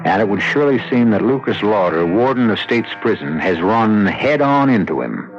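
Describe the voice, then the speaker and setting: Male drama host, portentous and measured, lower register, hissy dull vintage radio sound. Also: sing-song. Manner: deliberate, portentous. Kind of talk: dramatic scripted narration. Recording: noisy, band-limited old radio recording.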